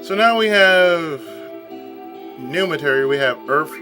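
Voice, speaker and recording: A hoarse man giving chatty, casual let's play commentary and clearing his throat often. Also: a little high, expressive, unhurried. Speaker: let's player. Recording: game music playing behind.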